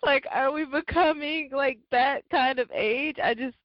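A woman co-hosting a podcast, chatting casually and telling things in an animated way. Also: high-pitched, measured pace, no echo, highs cut off. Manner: animated storytelling. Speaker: podcast co-host. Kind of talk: casual chat.

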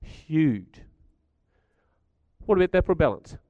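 Croaky man giving a talk. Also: a little high, big pitch swings, unhurried, dry recording, dull audio. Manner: chatty, stats-driven, asks the audience questions. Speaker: speaker at a community gathering. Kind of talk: talk to a live audience.

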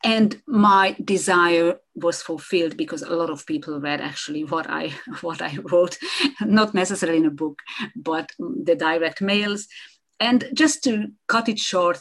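A middle-aged woman speaks in a low, gravelly voice. She answers interview questions in an animated way, often restarting her sentences and repeating words. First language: Hungarian. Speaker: guest, marketing consultant. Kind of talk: conversational podcast interview.

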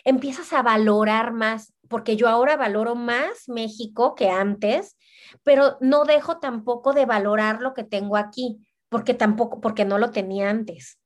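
Woman, fairly high-pitched, animated, repeats words, casual conversation. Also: moderate pace. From Mexico. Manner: animated, repeats words while searching for them. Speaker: guest.

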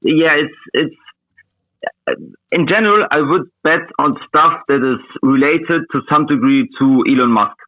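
A man whose first language is Russian, chatting casually as an interview guest, halting and searching for words in English. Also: a little high, dry recording. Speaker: interview guest, meme coin trader. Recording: thin phone-like voice-call audio.

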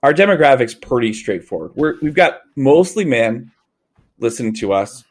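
A man's voice: mid-range voice, big pitch swings, moderate pace. A man in his forties co-hosting a podcast, chatting casually, rambling and correcting himself, laughing easily. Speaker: podcast co-host.

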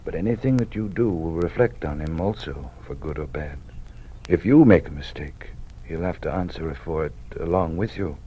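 An actor playing a weary older male college dean who lives in fear, speaking in a measured, quietly warning way in a 1950s radio drama. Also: a bass voice, husky. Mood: earnest. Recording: old radio broadcast with faint hiss.

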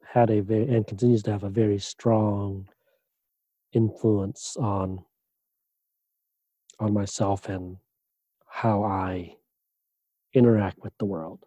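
A man, a doctoral student, answering interview questions in a relaxed way, pausing often with fillers and restarts. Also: fairly low pitch, dry recording. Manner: hesitant, many fillers and restarts.

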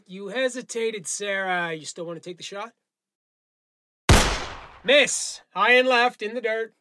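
A man playing a brisk, encouraging firearms instructor in an audio drama, with clipped, commanding delivery. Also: high-pitched, very noisy. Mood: urgent, coaching. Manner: commanding, clipped instruction with coaxing pep talk.

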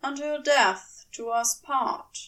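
A woman voicing a cool, weary, reproachful man with a dry, measured manner in a fan fiction audiobook. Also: sits high, fairly bright tone, distant mic. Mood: solemn, matter-of-fact.

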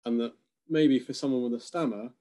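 A man in a casual conversation, thinking aloud with frequent hesitations and soft laughs. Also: mid-range voice, fairly even intonation, fairly quick.

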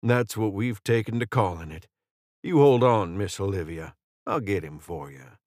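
A man's voice: lowish voice, animated, moderate pace, darkish tone, no room sound, clean studio sound, close to an everyday voice. A man voicing a genial, courteous fey assistant with a Southern drawl in an audiobook story. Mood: playful. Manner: courteous, chatty, folksy.

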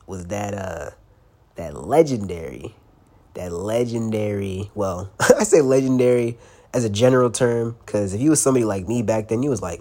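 A man's voice: fairly low pitch, warm tone. A young man with a cold hosts a casual solo podcast, sounding stuffy and congested, and talks in a loose, slangy way with plenty of swearing. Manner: loose and slangy, swearing, laughing now and then. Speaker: podcast host.

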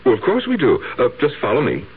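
Guilty husband, wildly swinging voice. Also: male voice, medium pitch, wildly swooping pitch, fast talker, husky, phone-quality sound, exaggerated voice. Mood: shaken, faltering. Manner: prickly, stammering, hesitant. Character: defensive, guilt-ridden husband who murdered his wife, cracking up. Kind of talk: radio drama acting. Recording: thin band-limited vintage radio, faint noise.

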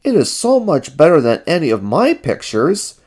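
A reader voicing an excitable, earnest childlike male puppet character in a storybook reading, with an exclamatory delivery. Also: mid-range voice, normal speed, husky, pitched above the performer's own voice. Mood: delighted.

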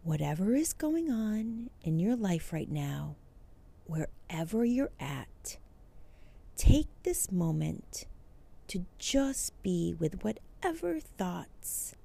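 A woman leading a guided meditation as host, speaking soothingly, with phrases that often trail into a breathy near-whisper. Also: on the low side, big pitch swings, takes it slowly, warm tone.

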